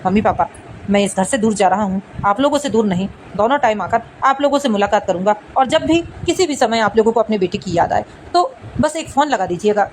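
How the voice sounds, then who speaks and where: A woman's voice: mid-range voice, expressive, fairly quick, voice pushed down, lightly acted. Woman acting out stern, dutiful son, noisy recording. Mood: dutiful, hurt. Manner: scolding, emphatic, dutiful. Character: stern, indignant adult son and husband, devoted to parents.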